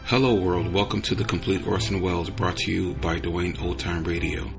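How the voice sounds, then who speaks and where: A man introduces his podcast in a low voice with a gravelly fry. His delivery is informal and unpolished, and music plays behind him. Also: fairly even intonation, moderate pace. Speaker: podcast host. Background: music under the voice.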